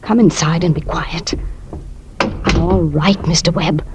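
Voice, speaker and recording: An actress playing a bitter wife plotting murder in a 1950s radio drama, speaking with dramatic, clipped intensity. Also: female voice, low voice, steady tone, measured pace, background noise. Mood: cold, insistent. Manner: dramatic, intense first-person narration and clipped dialogue. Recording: old radio recording with music behind.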